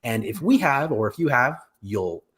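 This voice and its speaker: A middle-aged man with a medium-pitched voice co-hosts a live chat show from a room at home. His delivery is casual and conversational, with a joking streak. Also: sing-song, normal speed, fairly bright tone. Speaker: co-host of a pop culture show. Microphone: video call.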